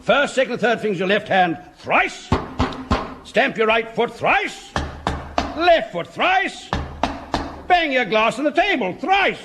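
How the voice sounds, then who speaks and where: A very high, swooping voice of a hearty old officer, upper-class English and boozily jovial. He speaks slowly and with relish, as in a vintage radio sitcom, on a noisy old recording. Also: big pitch swings, music in the background, full character voice. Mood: tipsy relish. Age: older. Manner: chortling, hearty, instructing with relish. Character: jovial, boozy old British army officer.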